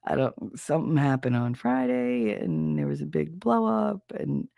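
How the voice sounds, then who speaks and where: Stuffy, gravelly New host. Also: mid-range voice, very animated, moderate pace, dark tone, dry recording, studio quality. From New Jersey. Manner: rambling, chatty, self-deprecating, greets chat viewers. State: stuffy, nasal from a cold. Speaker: livestream host. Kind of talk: casual live-stream chat.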